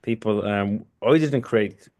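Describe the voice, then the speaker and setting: Low-voiced man, rambling. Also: takes it slowly, darkish tone. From Kurdistan, Iraq. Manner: rambling, with many restarts and "you know". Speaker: podcast guest. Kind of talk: casual conversational interview.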